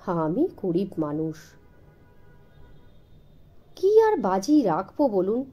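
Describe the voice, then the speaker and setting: A woman's voice: medium pitch, huge pitch swings, slow pace, warm tone, faint music behind, pitched below the performer's own voice, full character voice. A woman voicing a timid, miserly man in a children's story, speaking in a meek and hesitant way.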